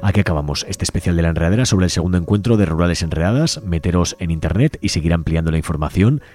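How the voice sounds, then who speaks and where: A man presenting a community radio show, reading out a manifesto and describing a project in a measured, slightly halting way. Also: low-pitched, animated, a little mellow, faint music behind. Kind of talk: community radio presentation, partly read aloud.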